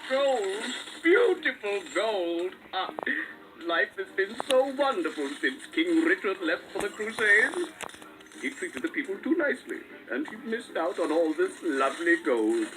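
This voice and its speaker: A vain storybook prince, greedy and pompous, with theatrical swoops in a mid-to-high voice. Music plays behind him. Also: male voice, sing-song, rough recording. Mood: gloating, delighted. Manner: theatrical, drawn-out gloating. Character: vain, gold-loving, pompous cartoon prince.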